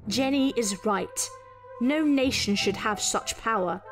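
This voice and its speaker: A woman's voice: a little high, over music. A woman voicing a stern, commanding female reptilian detective with an imperious manner, in an audio story. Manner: slow, imperious.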